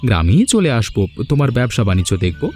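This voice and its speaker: A man's voice: mid-range voice, very animated, fast, a little mellow, faint music behind, close to an everyday voice. A man playing a cheerful, devoted young student son in a cartoon, eager and affectionate. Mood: happy, affectionate. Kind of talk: Bengali fairy tale cartoon dubbing.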